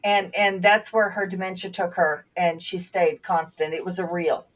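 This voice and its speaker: A woman telling stories in a casual conversation, reflective, with halting restarts, as a guest and former nursing aide. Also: on the low side, level tone, recorded from a distance. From Bulgaria.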